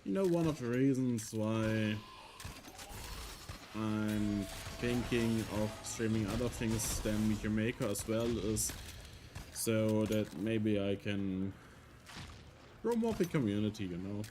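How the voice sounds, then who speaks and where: A man with a German accent, a German native speaker, casually talking through a game on stream, thinking aloud with hesitant, halting phrasing. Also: fairly low pitch, lively intonation, background noise. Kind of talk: casual gameplay commentary.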